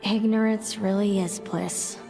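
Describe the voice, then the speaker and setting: A woman voicing a composed, solemn young rival in an anime-style video game, speaking in a measured, formal, reflective way. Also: medium pitch, steady tone, vocal fry. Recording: long hall-like reverb under music.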